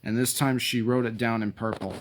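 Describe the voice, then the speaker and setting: A man's voice: medium pitch, steady tone, brisk, a little hiss or hum. Sentimental man in a casual vlog. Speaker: YouTube video creator. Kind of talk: casual show-and-tell vlog, partly reading aloud.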